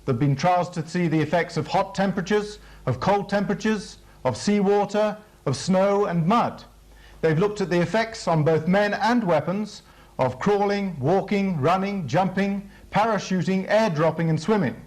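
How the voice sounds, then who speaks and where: A British man with a notably high voice delivers a formal military briefing. He explains things in a measured way, as a training film presenter working from a script. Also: darkish tone.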